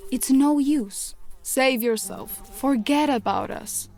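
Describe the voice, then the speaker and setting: A woman voicing a wry captive child in a fairy-tale game, deadpan and resigned. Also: high-pitched, slow, faint music behind.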